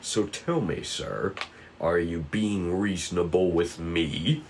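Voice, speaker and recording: Man playing a slow, courteous, formal FBI agent. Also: sits low, a little hiss or hum, voice pushed down. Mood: calm, stern. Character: polite, composed, formal young male FBI agent. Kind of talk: script table read acting.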